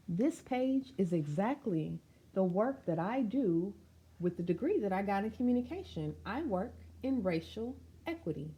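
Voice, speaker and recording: A woman's voice: mid-range voice, mellow, slightly rough. A woman reading a children's picture book aloud, speaking expressively and directly to young listeners with chatty asides. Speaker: storytime reader.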